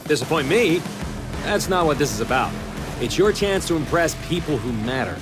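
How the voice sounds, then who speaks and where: Confident, higher-voiced racing event boss. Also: male voice, sing-song, moderate pace, over music. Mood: assured, persuasive. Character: confident slick male race event organizer from camera company. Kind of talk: video game cutscene acting.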